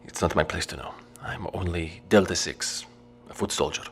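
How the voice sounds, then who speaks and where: A man playing a guarded low-level male operative who speaks in a quiet, terse way, in an audio drama. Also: normal speed, slight background noise, close to an everyday voice.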